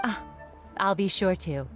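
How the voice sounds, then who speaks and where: An actress playing a friendly, grateful, generous shopkeeper in a video game, with a polite manner. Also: female voice, medium pitch, measured pace, over loud music, lightly acted. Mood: grateful, welcoming. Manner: polite, gracious.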